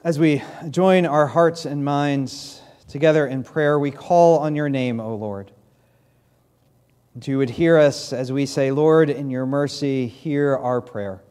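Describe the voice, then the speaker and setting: A man in his thirties with a New England accent, a pastor giving church announcements and leading prayer at a podium mic, speaking haltingly with many fillers. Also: medium pitch, a little mellow. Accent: New England American. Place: large church sanctuary, in doors.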